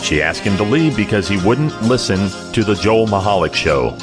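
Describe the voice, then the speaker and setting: A man's voice: fairly low pitch, sing-song, normal speed. A man reading a scripted, tongue-in-cheek show introduction as an announcer. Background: music.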